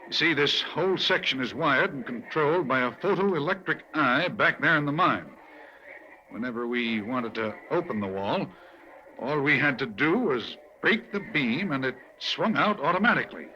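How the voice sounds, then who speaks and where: An actor playing a nervous male crook who explains a hidden tunnel wall in halting, hesitant speech, in an old radio drama. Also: mid-range voice, lively intonation, pushed and bright. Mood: reluctant. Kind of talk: 1940s radio acting. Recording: dull lo-fi old radio recording, faint hiss.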